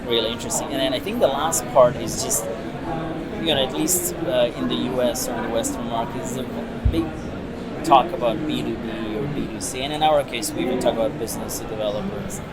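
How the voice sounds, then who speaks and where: A man from Tanzania answering as an interview guest in a conversational way, with halting phrasing and frequent restarts. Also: mid-range voice, steady tone, loud music behind the voice. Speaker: interview guest, tech product executive. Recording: loud crowd and traffic noise behind.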